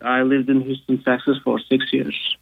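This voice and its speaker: A man's voice: mid-range voice, completely flat delivery, moderate pace, vocal fry, narrow, tinny audio. A man in his thirties with a Turkish accent, a guest on a radio talk show, speaking hesitantly with many fillers. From Turkey. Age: 30s. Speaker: guest, economics professor. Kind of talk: casual interview.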